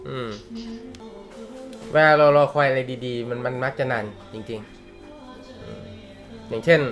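A man's voice: medium pitch, sing-song, slow pace, fairly bright tone, faint music behind. A young man teaching a manga class in a casual, joking way, laughing often and using slang. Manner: chatty, laughs often, uses slang and swears. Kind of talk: casual live class talk.

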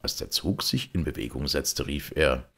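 A man reading a literary story aloud as an audiobook narrator, measured and expressive. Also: very deep voice. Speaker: audiobook reader.

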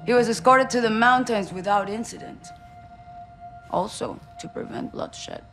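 A woman's voice: on the high side, unhurried, a bit bright, soft background music. A woman playing a cunning, proud cartel boss in a video game, with a Mexican accent and a defiant, self-assured manner. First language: Spanish. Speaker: actor in a video game cutscene. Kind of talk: cinematic game acting. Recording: dramatic score over the dialogue.

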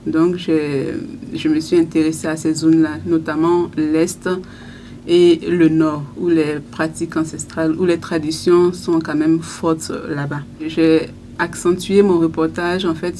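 A young woman radio journalist answering interview questions in a small radio studio, thoughtful, with frequent pauses and restarts. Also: low-pitched, a little mellow, slight background noise. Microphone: studio microphone on a stand.

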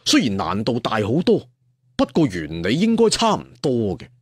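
A man's voice: mid-range voice, huge pitch swings, normal speed, husky, no room sound, clean studio sound. A man with a Cantonese accent narrating an audio story dramatically, with colloquial asides. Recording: music behind the voice.

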